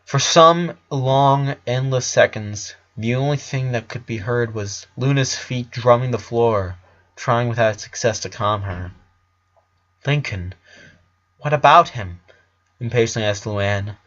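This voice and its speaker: A man's voice: medium pitch, a little slow. A man reading a fan fiction story aloud as a dramatic narrator. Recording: dull lo-fi recording.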